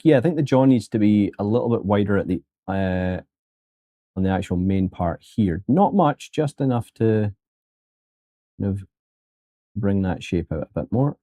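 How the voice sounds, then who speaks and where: A man's voice: fairly low pitch, vocal fry, warm tone, no room sound, studio quality. A man teaching 3D sculpting on a live stream in a casual, joking way, talking to his viewers as folks.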